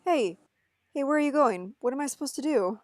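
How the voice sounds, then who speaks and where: A woman's voice: high voice, lively intonation, fairly quick, a little mellow. A woman playing an earnest, desperate young man in a table read of a film script, pleading with emotion. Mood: anxious.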